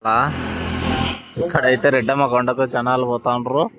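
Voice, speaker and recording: A man's voice: mid-range voice, fairly even intonation, normal speed, a crowd in the background. A man narrating a casual bus travel vlog, chatting to his viewers as friends. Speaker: travel vlogger. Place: on a bus and at bus stands, outdoors. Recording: phone audio with loud road noise.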